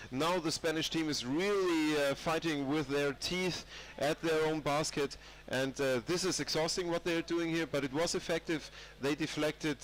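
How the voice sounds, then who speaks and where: A man's voice: on the high side, slight background noise, poor audio. A man with a cold commentating a live match, rambling with many fillers and restarts. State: has a cold. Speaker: sports commentator.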